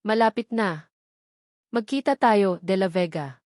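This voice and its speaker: A woman's voice: mid-range voice, a little slow, darkish tone, no echo, clean studio sound, close to an everyday voice. A Filipino woman narrator voicing a cold, commanding male kidnapper who makes threats in a dramatic story. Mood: menacing.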